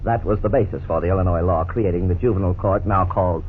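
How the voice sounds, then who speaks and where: An actor playing an earnest, authoritative family lawyer who explains things courteously in a 1950s radio drama. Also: male voice, deep voice, natural voice. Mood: earnest, instructive. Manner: measured, didactic, courteous. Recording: scratchy, tinny 1950s radio recording.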